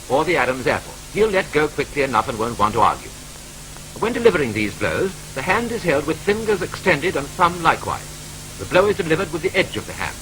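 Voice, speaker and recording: A man with a British accent narrating a 1940s training film in a brisk, clipped, wryly matter-of-fact manner, from an old film soundtrack. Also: fairly high pitch, bright, forceful tone, a little hiss or hum, rough recording.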